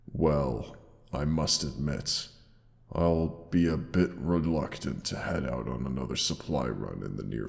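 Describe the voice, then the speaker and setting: Casting: a loving, protective dragon for audio roleplay; rumbling bottom-end pitch, slow archaic tenderness. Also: male voice, exaggerated voice. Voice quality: very deep, gravelly. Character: caring old-world male dragon, tender protective lover. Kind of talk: intimate audio roleplay acting. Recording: long cavernous reverb.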